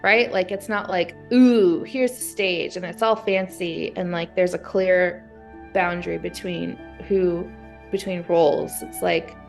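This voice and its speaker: Animated woman brainstorming over music. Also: on the low side, measured pace, creaky. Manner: animated, acts out imagined thoughts and exclamations. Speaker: guest being coached through writer's block. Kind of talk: casual brainstorming chat.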